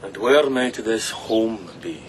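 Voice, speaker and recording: Deep-voiced, taunting bandit swordsman. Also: lively intonation, slow, a little hiss or hum. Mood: sly, genial. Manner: taunting, condescending, mock-courteous. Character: menacing, mocking bandit swordsman, a braggart. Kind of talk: radio drama acting.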